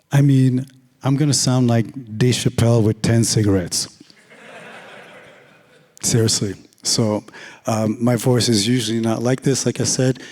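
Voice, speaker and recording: Hoarse male speaker, raspy and mellow, loose joking delivery, long hall reverb. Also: mid-range voice. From Canada. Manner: casual, joking, filler-heavy. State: hoarse, lost voice. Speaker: conference speaker. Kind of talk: conference talk. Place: large conference hall.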